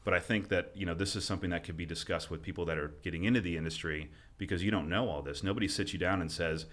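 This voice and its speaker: A man's voice: low-pitched, fast talker, fairly bright tone. A man hosting a podcast, chatting casually and asking long, rambling questions. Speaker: podcast host. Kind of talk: casual interview talk.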